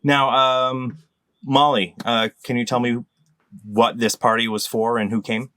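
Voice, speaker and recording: A man's voice: medium pitch, little pitch movement, unhurried, bright, forceful tone, no room sound. A man presenting a quiz question in casual comedic chat, rambling and laughing at his own slips.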